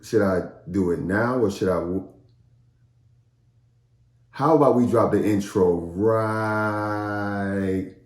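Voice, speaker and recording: A man, a children's storytime host, speaking playfully and directly to young listeners in an animated read-aloud style. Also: lowish voice, slow, long pauses, echoey room.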